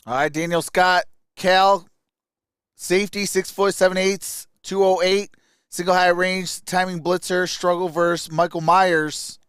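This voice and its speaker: Clear-voiced guy hosting a casual sports stream. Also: high voice, level tone, unhurried, a bit bright, dry recording, clean studio sound. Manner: informal, addresses viewers as y'all. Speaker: live stream sports host. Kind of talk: casual live-stream draft commentary.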